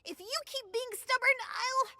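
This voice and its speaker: An actress playing a feisty, blunt young girl in a game cutscene, sharp and impatient. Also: extremely high pitch, moderate pace, in a large hall, exaggerated voice.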